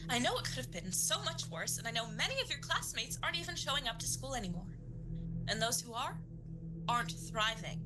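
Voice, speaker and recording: A woman's voice: a little high, big pitch swings, brisk, loud music behind the voice. A woman acting in an audio drama as an exacting mother who guilt-trips with sweetly cutting disappointment. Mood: sweetly disapproving.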